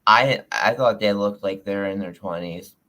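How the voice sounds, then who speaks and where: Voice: low voice, moderate pace, bright, forceful tone. A panel guest chatting casually and complimenting others on a live stream.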